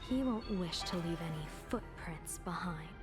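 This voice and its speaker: A woman plays a shrewd female strategist in a game, composed and grave. Her voice sits a little low, with measured, portentous phrasing and music clearly behind it. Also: expressive, close to an everyday voice. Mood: grave, assured. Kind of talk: dramatic game voice acting.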